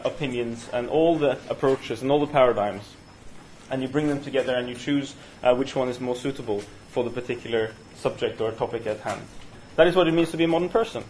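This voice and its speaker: A man with a Norwegian accent leading a university study session, talking in a halting way with many fillers and restarts. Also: mid-range voice, a little mellow, a little hiss or hum, poor audio. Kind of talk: university seminar lecture. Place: university room. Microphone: single room mic.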